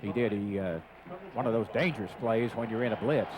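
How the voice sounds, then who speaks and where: A man's voice: lowish voice, brisk, a crowd in the background. An American man giving folksy, conversational color commentary on a live football radio broadcast. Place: outdoor football stadium broadcast. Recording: noisy stadium radio broadcast.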